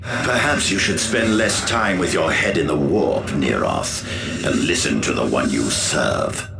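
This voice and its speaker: A proud, cold chaos lord issues orders slowly and haughtily. His voice is deep and gravelly, rising and falling widely. It rings with echo over a loud score. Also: animated, background noise, full character voice. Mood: commanding. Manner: haughty, commanding, measured. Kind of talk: video game cutscene acting. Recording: echoey, with loud orchestral score.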